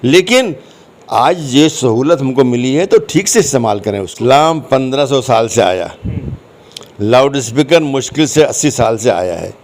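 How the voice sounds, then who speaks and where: A man's voice: mid-range voice, expressive, moderate pace. A man answering interview questions conversationally, explaining his points and repeating phrases for emphasis. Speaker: interview guest, commentator.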